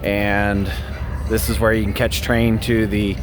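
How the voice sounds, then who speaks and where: A man's voice: lowish voice, little pitch movement, measured pace. A man hosting a casual travel vlog outdoors, cheerful and joking as he points out the sights. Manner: upbeat, joking, tour-guide pointing things out. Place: outdoors at a busy train depot. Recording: noisy crowd and music behind. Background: crowd chatter and music.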